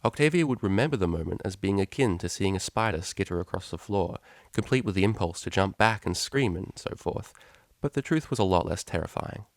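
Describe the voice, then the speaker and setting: A man narrates a story in a lowish voice. He reads in a measured, expressive storytelling style. The sound is dry, with very little room in it. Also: no room sound. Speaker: fan fiction reader, narrator.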